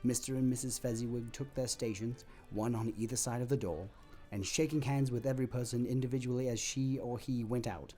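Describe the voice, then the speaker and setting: A man narrating a classic story expressively and theatrically, with a husky voice. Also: medium pitch, warm tone.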